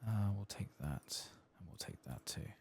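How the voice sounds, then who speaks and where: A soft-spoken man giving low-key ASMR commentary as he plays a game, muttering to himself. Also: expressive, measured pace, slight background noise. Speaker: gaming video host.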